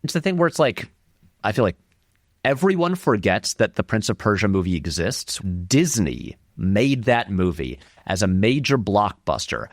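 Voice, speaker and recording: A man's voice: fairly low pitch, sing-song, moderate pace, no room sound, studio quality. A man giving casual, discursive film commentary as an interview guest, explaining animatedly and peppering his talk with "like". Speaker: interview guest, filmmaker and video essayist.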